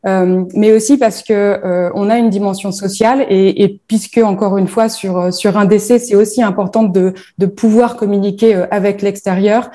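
A woman from northern France answers an interviewer's questions in a mellow, warm voice. She speaks in a halting way, with many pauses and fillers, as she explains her platform. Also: medium pitch, steady tone. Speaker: founder of a bereavement platform.

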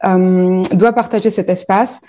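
A woman from northern France answers an interviewer's questions in a mellow, warm voice. She speaks in a halting way, with many pauses and fillers, as she explains her platform. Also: mid-range voice, fairly even intonation. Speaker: founder of a bereavement platform.

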